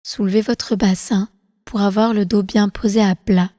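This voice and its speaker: A woman leading a guided relaxation meditation as instructor, calm and soothing, with slow, deliberate phrasing. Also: mid-range voice, no echo, clean studio sound, big-room sound. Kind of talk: guided prenatal meditation, yoga nidra.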